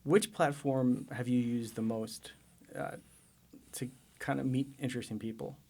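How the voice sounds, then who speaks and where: Male interview host, mid pitch, gravelly edge, encouraging questioner. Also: fairly even intonation, a little slow, warm tone. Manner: encouraging, asks follow-up questions. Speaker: podcast host. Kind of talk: interviewing a guest.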